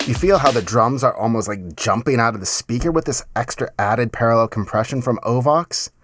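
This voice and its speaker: A man in his thirties giving a casual, enthusiastic music software tutorial in a conversational way, close on a mic in a home studio. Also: medium pitch, fairly quick. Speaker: music production tutorial host. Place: small home studio, indoors.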